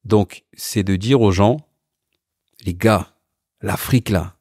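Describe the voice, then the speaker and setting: A man's voice: on the low side, slow, darkish tone, dry recording, clean studio sound. A man, born in Portugal, chatting animatedly as a guest in an interview, often repeating words while he looks for them. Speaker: guest, car company founder. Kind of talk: casual interview chat.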